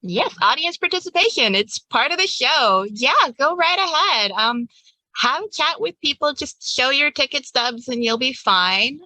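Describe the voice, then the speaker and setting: A woman's voice: high-pitched, animated, measured pace, bright, forceful tone, no echo, low-quality recording. A woman running a tabletop game, improvising scenes in a casual, conversational way. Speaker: game master.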